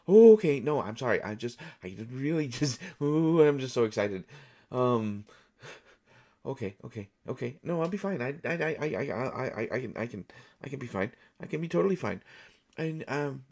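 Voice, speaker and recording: Casting: a man as a nervous, overeager male actor for audio roleplay; stammers, repeats himself, very animated pitch. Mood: flustered, jittery. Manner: stammering, breathless rambling, repeating words. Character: jittery, overexcited male actor, over-caffeinated and anxious. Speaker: online audio roleplay performer. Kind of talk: solo audio roleplay acting, one side of a conversation.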